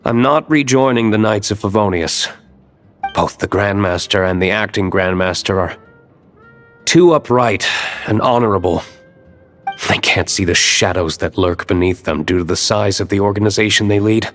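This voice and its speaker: A man voices an aloof, brooding fantasy game nobleman in a low voice. He sounds serious and reserved, giving little away, over faint music. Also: moderate pace, fairly bright tone, close to an everyday voice. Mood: resolute, serious. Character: stoic, guarded, brooding nobleman, aloof former knight. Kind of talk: video game voice acting. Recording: background music under the voice.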